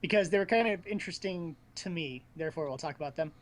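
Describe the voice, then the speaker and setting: A man's voice: a little high, fast talker. A man hosting a casual gaming chat, rambling and thinking aloud with blunt opinions. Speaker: host of a gaming news show.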